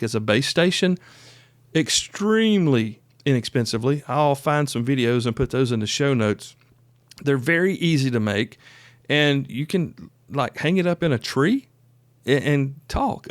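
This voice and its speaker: A man's voice: medium pitch, no room sound. A man hosting an informal training show, explaining things in a plain-spoken, folksy, conversational way. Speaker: host of a prepper radio training show. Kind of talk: informal instructional talk.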